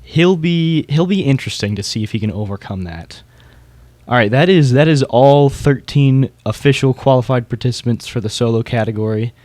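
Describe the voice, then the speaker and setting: A man's voice: mid-range voice, normal speed, darkish tone, no room sound. A man co-hosting a podcast, giving casual hobbyist commentary in a conversational way. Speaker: podcast co-host.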